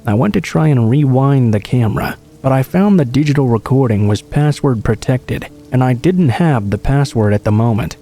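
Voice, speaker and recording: A man reading true scary stories aloud as a scripted narration, in a steady, low-key delivery. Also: moderate pace, hardly any pauses, faint music behind. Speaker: horror story narrator.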